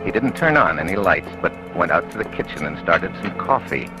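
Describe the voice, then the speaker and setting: An actor playing a calm, shrewd blind police captain who questions suspects and narrates in a measured, dry way, in 1940s radio acting style. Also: male voice, low voice, music in the background, rough recording. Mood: narrating. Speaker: detective narrator.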